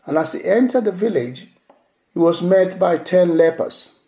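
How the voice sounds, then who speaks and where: A male pastor whose first language is Yoruba preaches a sermon in a measured way, repeating key phrases for emphasis. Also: high-pitched, animated, dark tone. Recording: echoey room.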